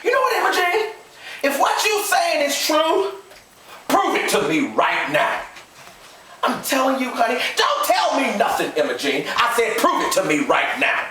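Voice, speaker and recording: A man's voice: a little high, very animated, moderate pace, a bit bright, voice pushed up. Man acting out an anguished, explosive Southern father. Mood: angry, disbelieving. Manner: pleading and explosive, folksy dialect. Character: anguished, enraged rural Southern father, domineering husband. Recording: roomy, distant mic, faint noise.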